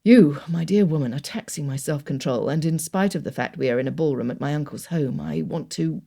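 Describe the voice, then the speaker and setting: A woman voicing a reserved young gentleman suitor who speaks low, hushed and earnest. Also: a little fast, gravelly.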